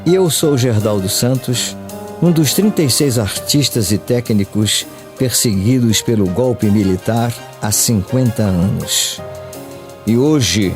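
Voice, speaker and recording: An older man with a Brazilian accent, a veteran radio actor, making a solemn scripted statement about himself. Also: lowish voice. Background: music under the voice.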